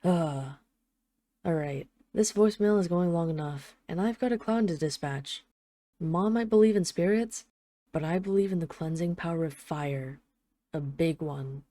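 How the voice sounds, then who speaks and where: A woman's voice: fairly low pitch, warm tone, no echo, studio quality, close to an everyday voice. A woman playing a tough but tender, jealous mafia boss, speaking intimately to an absent partner in a scripted audio roleplay voicemail. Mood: wry, exasperated. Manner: intimate, halting, sweary, addressing an absent lover.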